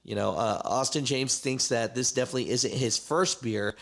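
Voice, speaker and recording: A man's voice: medium pitch, moderate pace, gravelly. A man hosting a trucking channel, recapping a story in casual commentary with many fillers.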